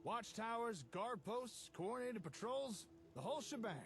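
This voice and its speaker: An actor playing a friendly, eager settlement builder in a video game, explaining things in a casual, helpful way. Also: male voice, extremely high pitch, animated, moderate pace, vocal fry, heavily acted. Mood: helpful, confident. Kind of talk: scripted video game dialogue.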